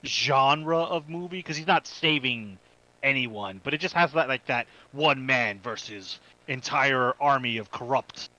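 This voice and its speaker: A man's voice: mid-range voice, measured pace, bright, forceful tone, dull audio. A man co-hosting a podcast, giving casual, conversational explanations and commentary. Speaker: podcast co-host. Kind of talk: casual chat, movie commentary.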